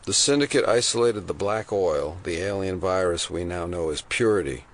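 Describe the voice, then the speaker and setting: A man's voice: low voice, lively intonation, normal speed. A man playing a skeptical, questioning investigator in an audio drama. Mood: skeptical, probing. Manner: questioning, incredulous. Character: probing, skeptical male investigator.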